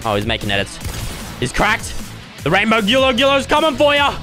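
A young man commentating his own gameplay, loud and dramatic, with squealing yelps and groans, his voice starting to go hoarse. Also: high voice, wildly swooping pitch, fairly quick, faint music behind. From Australia. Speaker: gaming YouTuber.

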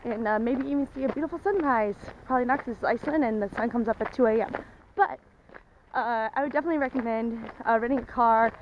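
Young woman chatting into an arm's length camera outdoors, high voice, muffled audio with wind hiss. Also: fast talker. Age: young adult. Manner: chatty, talking to a handheld camera while walking. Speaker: travel vlogger. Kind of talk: casual travel vlog. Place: outdoors on a rocky trail.

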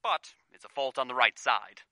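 A man playing a fussy, genial and pompous professional gentleman in an old-time radio play. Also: mid-range voice, lively intonation, moderate pace, no room sound, clean studio sound. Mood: courteous, effusive.

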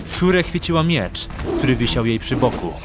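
A man's voice: mid-range voice, big pitch swings, moderate pace. A man narrating a fantasy audiobook in a dramatic, scripted storytelling style.

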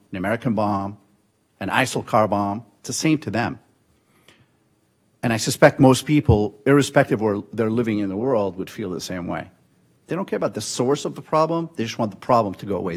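A man in his forties from Syria, giving a measured policy talk at a conference podium, with frequent fillers and restarts. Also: fairly low pitch. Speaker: conference panelist. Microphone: podium microphone.

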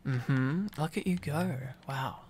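A young man doing a boyfriend ASMR roleplay, clingy, teasing and silly, rambling playfully with halting repetitions. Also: on the high side, a little mellow, a little hiss or hum.

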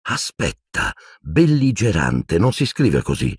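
A man's voice: fairly low pitch, big pitch swings, slow, slightly rough, no echo, clean studio sound, pitched above the performer's own voice, full character voice. A man voicing a grumbling, clumsy teenage schoolboy in an audiobook.

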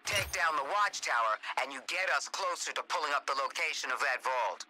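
An actor playing a brisk, bossy military squad commander in a video game, with a commanding, clipped delivery. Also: male voice, a little high, lively intonation, bright, forceful tone, a little hiss or hum, low-quality recording. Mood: stern, businesslike. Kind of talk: scripted video game dialogue.

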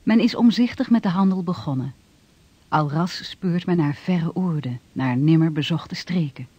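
A man's voice: on the high side, moderate pace, warm tone, breathy edge, no room sound. A man narrating a historical radio play in a solemn, declamatory manner. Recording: dull vintage radio recording with music.

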